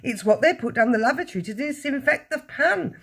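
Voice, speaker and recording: A woman voicing a scornful, bossy middle-aged mother in a children's storybook, shrill and scolding. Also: animated, a little fast, vocal fry, breathy edge, full character voice.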